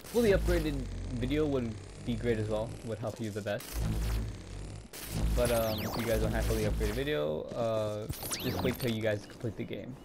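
A young man narrating a gameplay walkthrough casually, hesitating a lot with ums and restarts, his throat a little raspy. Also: mid-range voice, noisy background. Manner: halting, many ums and restarts. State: slightly raspy throat, clearing it. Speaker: gaming YouTuber.

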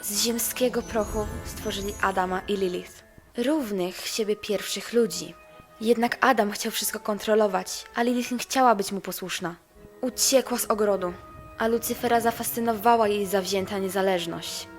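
A woman voicing an idealistic, anxious young princess of hell in an animated fan dub, earnest and expressive. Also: mid-range voice, normal speed, soft background music, close to an everyday voice. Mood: solemn storytelling. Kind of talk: fan-dubbed animation, storybook narration and dialogue.

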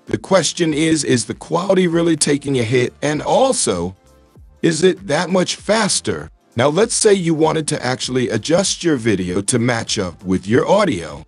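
A man's voice: mid-range voice, husky, dry recording. A man speaking through an AI voice changer as an intense American film actor, demonstrating in tutorial style.

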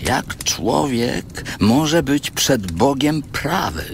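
An actor playing Job, an afflicted righteous man humbled before God, in a solemn, halting, dramatic audio Bible performance. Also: mid-range voice, big pitch swings, slight background noise, exaggerated voice. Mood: awed, grave.

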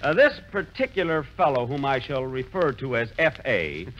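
A man's voice: mid-range voice, very animated, normal speed. A man hosting a 1940s radio comedy, self-important and indignant in his comic timing, recorded in a theater. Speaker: comedian host. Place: theater stage with live audience. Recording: lo-fi 1940s radio broadcast with hiss.

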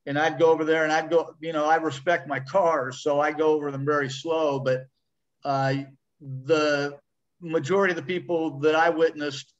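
A man's voice: a little high, little pitch movement, moderate pace. A man making public comment at a council meeting, rambling conversationally with you know and um. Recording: dull lo-fi audio line.

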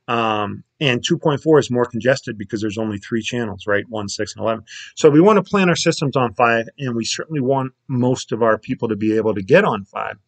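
Gravelly-voiced man walking through a tech tutorial. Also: mid-range voice. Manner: casual, plain-spoken, checks in with "okay?" and "right?". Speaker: security installer giving a tutorial. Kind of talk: screen-share tutorial.

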